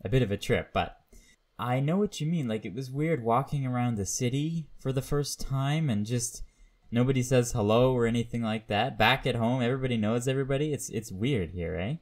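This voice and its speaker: A man's voice: mid-range voice, a little fast. A Canadian man hosting a podcast, interviewing in a casual, slightly hesitant conversational way.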